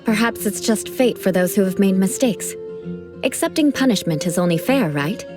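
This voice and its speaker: A woman's voice: medium pitch, lively intonation, normal speed, music in the background, close to an everyday voice. An actress playing a proud young female knight of an aristocratic clan, who speaks in a formal, aristocratic manner. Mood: composed.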